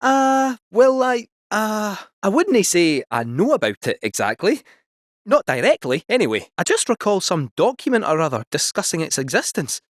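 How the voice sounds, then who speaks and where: A man's voice: sits high, big pitch swings, normal speed, no echo. A jaunty male robot cat with a broad Scottish accent, rattling off folksy quips in a raised, sing-song voice that leaps up and down. He sounds cheeky and sure of himself, like a game sidekick. The sound is dry and close, with no room around it. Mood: wry chatter. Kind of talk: video game voice acting.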